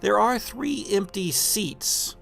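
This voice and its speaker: A man narrating an improvised scene as game master of a tabletop horror game, with halting, deliberate descriptive phrasing. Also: high-pitched, lively intonation, vocal fry, fairly bright tone, faint music behind.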